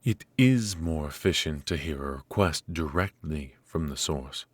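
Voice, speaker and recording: A man playing a stern, aloof royal mage and mentor, speaking formally and imperiously with a husky voice in a scripted ASMR fantasy roleplay. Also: rumbling low, animated, unhurried, full character voice. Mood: curt, exacting.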